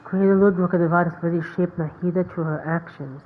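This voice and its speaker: A woman's voice: on the low side, steady tone, quick pace. A woman streaming a game with casual commentary, sometimes reading the dialogue aloud. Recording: thin phone-like sound, background noise.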